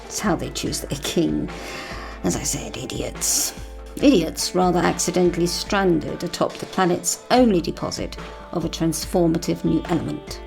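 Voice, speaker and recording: A woman voicing a mysterious, secretive woman who speaks in a cool, knowing, measured way. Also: on the low side, vocal fry. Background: music.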